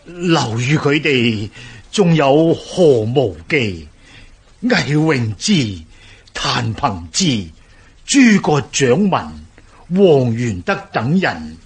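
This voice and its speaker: An actor with a Hong Kong Cantonese accent playing a timid, deferential court official in a radio drama, hesitant and raspy. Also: male voice, mid-range voice, sing-song, drawn-out words, heavily acted. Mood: frightened, halting. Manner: hesitant, stammering.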